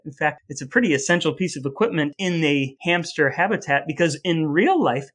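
A man's voice: on the high side, a bit bright. A man co-hosting a podcast, going through researched facts in an explanatory way. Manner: explanatory, laughs at his own points. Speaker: podcast co-host. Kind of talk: casual chat, reading out researched facts.

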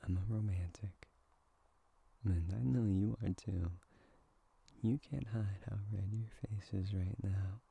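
A man playing a tender, playful young boyfriend in an intimate ASMR roleplay, speaking in a soft, breathy, hushed voice that often slips into whispers. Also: on the low side, fairly even intonation, takes it slowly, pauses often, dry recording, full character voice. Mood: teasing, affectionate.

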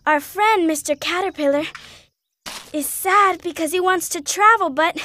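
A woman's voice: very high voice, unhurried, no room sound, studio quality, heavily acted. A woman voicing an eager, impatient young cow in a children's cartoon, talking emphatically. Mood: earnest. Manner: excitable, emphatic, exclaiming.

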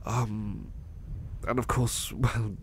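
A man playing a gentle, anxious, sleepy butler in a soft-spoken ASMR roleplay, hesitant and apologetic as he stammers. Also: on the low side, little pitch movement, extremely slow. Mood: content, reassuring. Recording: faint background noise.

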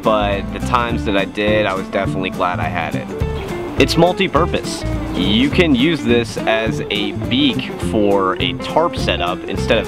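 Young male hiking vlogger, lowish voice, plain-spoken and relaxed, over loud music. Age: young adult. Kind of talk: casual gear review to camera. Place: outdoors on a deck by trees.

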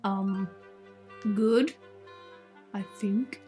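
A woman's voice: mid-range voice, steady tone, very slow, mellow, soft background music. A woman playing a hesitant, awkward young gamer girl in an amateur comedy radio drama. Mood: unsure.

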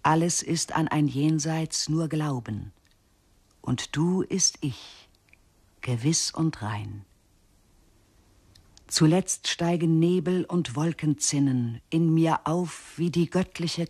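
An actor voicing a dreamy, lyrical river, reciting verse solemnly and lingeringly in a radio play. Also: male voice, a little high, lively intonation, slow, darkish tone, breathy edge, full character voice.

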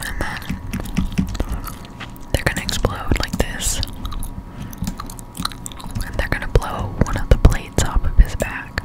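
A young woman speaks entirely in a soft, breathy whisper. She is doing ASMR gameplay commentary right up at the mic, explaining things casually and haltingly with plenty of slang. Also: rough recording. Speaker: gaming ASMR streamer. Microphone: close-up desktop condenser microphone.

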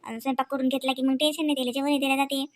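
A woman's voice: high voice, flat delivery, very fast, lightly acted. A woman voicing a chatty, practical young housewife and mother in a comedy cartoon, speaking homely, coaxing Marathi with a Varhadi accent from Vidarbha. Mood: approving. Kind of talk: colloquial domestic comedy cartoon dialogue.

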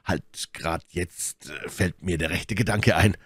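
A man voicing a calm, commanding and wry musketeer in a measured way for an audiobook. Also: low voice, slightly rough, dry recording, clean studio sound, heavily acted. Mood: cool, wry. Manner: measured, unhurried, authoritative. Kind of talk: audiobook character dialogue.

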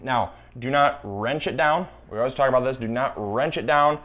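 A man's voice: medium pitch, normal speed, fairly bright tone, highs cut off, a little hiss or hum. Young man, casual instructive tone, repeats key warnings, home kitchen, lapel mic. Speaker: gardening channel host. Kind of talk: casual cooking demonstration.

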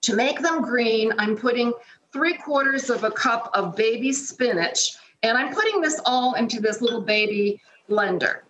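A woman's voice: on the high side, moderate pace, fairly bright tone. A middle-aged woman giving a chatty, step-by-step cooking demonstration from her home kitchen over a remote video feed. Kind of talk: cooking demonstration on a morning show. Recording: roomy kitchen echo, faint noise.

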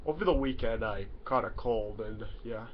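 A man's voice: fairly low pitch, normal speed. A young man with a stuffy nose from a cold, talking casually to camera in a small bedroom and rambling with many fillers. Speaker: YouTuber. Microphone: webcam in front of him. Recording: dull lo-fi webcam audio, faint hiss.

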